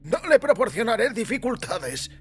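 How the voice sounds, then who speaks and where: A man voicing a frightened shop clerk pleading at gunpoint in an audio drama, with a strained, husky voice. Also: high-pitched, very animated, moderate pace, soft background music, voice pushed up, full character voice. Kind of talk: audio fiction acting.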